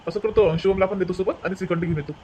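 पुरुषाने साकारलेला भरभर बोलणारा उंच आवाजाचा नवरा. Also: male voice, high-pitched, steady tone, very fast, a little mellow, a little hiss or hum, lightly acted. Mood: agreeable. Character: easygoing, teasing husband in a family cartoon. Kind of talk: animated family comedy dialogue.

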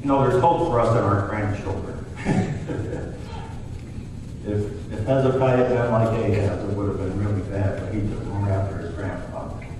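A man's voice: fairly low pitch, little pitch movement, normal speed. A middle-aged man preaching a sermon in a church sanctuary, telling the story in a conversational way and voicing the characters he quotes. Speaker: pastor. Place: church sanctuary, large room. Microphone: far camera at back of church. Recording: distant roomy church recording with hiss.

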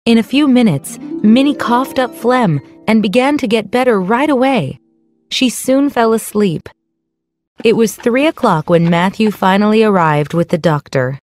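A woman giving scripted narration for a children's story in a clear storytelling delivery. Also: a little high, moderate pace, darkish tone, faint music behind.

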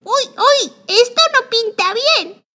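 A woman voicing an excitable little witch girl in a children's cartoon, dramatic and exclamatory, determined but easily frightened. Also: extremely high pitch, slow pace, full character voice. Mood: panicked, wailing. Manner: exclamatory, dramatic, wailing when in trouble.

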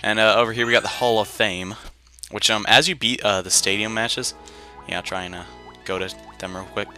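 Bright, forceful young man gaming. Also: fairly low pitch, fairly even intonation, fairly quick, faint music behind. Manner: rambling, slangy, jokey asides. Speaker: gaming video host. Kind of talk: casual let's play commentary.

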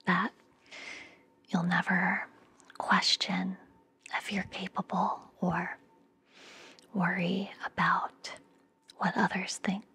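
A young woman whispering gently and reassuringly in a soothing, breathy ASMR style, close to the microphone. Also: on the low side, little pitch movement, slow pace, long pauses. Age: young adult. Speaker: ASMR podcast host. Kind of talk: ASMR, soothing guided relaxation and affirmations. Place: indoor room, close up. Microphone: fluffy-covered microphone very close to the mouth.

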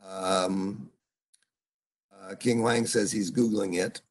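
A man's voice: lowish voice, steady tone, husky. Older male professor lecturing on a video call. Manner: halting, full of uhs, asks students questions. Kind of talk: online seminar lecture. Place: home room on a video call. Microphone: video call computer mic.

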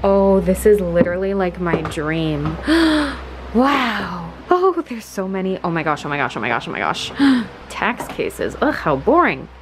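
A young adult woman chatting casually in a vlog, gushing and exclaiming in an excitable way. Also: lowish voice, measured pace. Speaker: vlogger. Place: indoors, bookstores and an empty room at home. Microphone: handheld camera at arm's length. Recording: noticeable background noise.

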